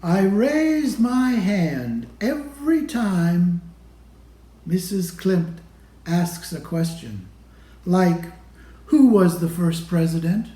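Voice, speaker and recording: Slow-paced man reading a picture book, roomy sound. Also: high voice, expressive, dark tone. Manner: deliberate, pausing between phrases to show pictures. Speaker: storybook reader. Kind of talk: read-aloud of a children's picture book. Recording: roomy, echoey room sound.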